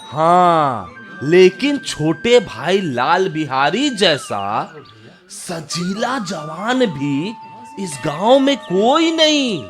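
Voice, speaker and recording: A man's voice: high-pitched, sing-song, very slow, soft background music, full character voice. An actor playing a chatty, admiring young village man in a radio drama, talking in a respectful, familiar way. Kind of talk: Hindi radio drama acting.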